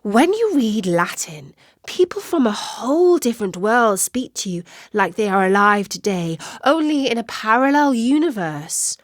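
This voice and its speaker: A woman's voice: a little high, sing-song, measured pace, dry recording, clean studio sound. A woman voicing a firm, wise witch mother in a storybook, explaining things emphatically. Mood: persuasive, instructive.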